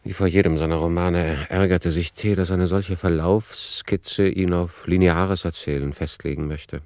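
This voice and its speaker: Deep-voiced deliberate literary author. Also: male voice, fairly even intonation, a little mellow, dry recording, clean studio sound. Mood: measured. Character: reflective male author musing over his novel. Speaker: narrating author in a radio play. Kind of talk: literary radio play narration.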